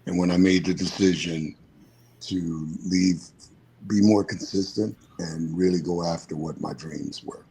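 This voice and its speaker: Older male co-host, 70s, very low husky voice, plain-spoken, folksy storyteller. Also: steady tone, a little slow, dark tone, poor audio. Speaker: podcast co-host. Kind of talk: casual anecdotal advice.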